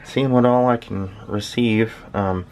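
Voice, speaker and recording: A man's voice: on the low side, fairly even intonation, unhurried, darkish tone, a little hiss or hum. A man giving a casual, unscripted hobby demonstration, rambling and hesitant as he thinks aloud. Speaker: hobbyist shortwave listener presenting own station. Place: small uninsulated shed with workbench.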